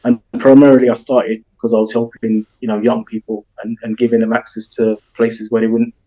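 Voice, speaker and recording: A man with a South London accent, a football agent answering interview questions over a video call in a relaxed, rambling way, full of ums and you-knows. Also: mid-range voice, fairly quick, muffled recording. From England.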